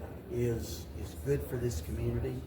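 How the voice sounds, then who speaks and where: An older man giving reflective interview answers as a mayor, haltingly, often repeating and restarting his phrases. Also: medium pitch, flat delivery, very noisy. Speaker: mayor, talk show guest. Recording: roomy, distant mic, voices in background.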